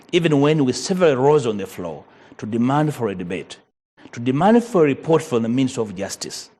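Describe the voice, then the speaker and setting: A Ugandan man whose first language is Luganda, a member of parliament arguing in a TV panel debate, measured and emphatic. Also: mid-range voice, darkish tone, breathy edge. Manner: measured, emphatic, rising on key points.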